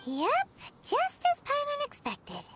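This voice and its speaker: A woman voicing a tiny childlike fairy companion in a video game, squeaky, chirpy and cheeky. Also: huge pitch swings, slow, a bit bright, slight background noise, exaggerated voice. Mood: cheeky, chatty. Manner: cheeky, speaks of self in third person. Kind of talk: animated video game voice acting. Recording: music behind the voice.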